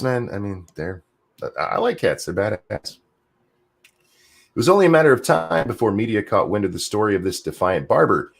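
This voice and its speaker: A man hosting a podcast, chatting casually and reading news articles aloud with a jokey, animated delivery. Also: on the low side, gravelly. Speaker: podcast host.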